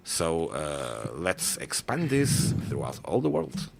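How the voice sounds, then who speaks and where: A man's voice: sits low, wildly swooping pitch, takes it slowly, gravelly, slight background noise. A man with a Portuguese accent, a native Portuguese speaker, talking in a casual online round table in a hesitant, encouraging way with many fillers.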